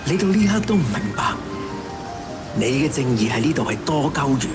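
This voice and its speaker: An actor with a Hong Kong Cantonese accent playing a fanatical, cynical killer who styles himself an executioner, in theatrical, taunting radio drama monologue. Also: male voice, on the high side, sing-song, fairly quick, over loud music. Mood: mocking.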